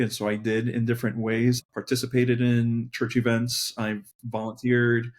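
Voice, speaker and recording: A man from Colombia and New Jersey, a pastor, sharing his personal story conversationally as a guest, reflective and pausing to search for words. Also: mid-range voice.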